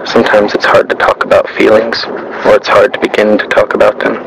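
Low, creaky man reading in flat tones. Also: brisk, noisy background. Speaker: narrator. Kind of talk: scripted literary monologue, read aloud.

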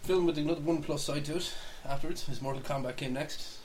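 A man co-hosting a casual podcast about films and games, opinionated, contrary and quick to correct. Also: a little high, darkish tone. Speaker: podcast co-host. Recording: hissy podcast recording with background noise.

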